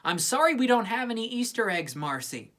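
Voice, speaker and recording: Woman as a frank, world-weary girl, animated pitch. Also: fairly quick. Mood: disappointed.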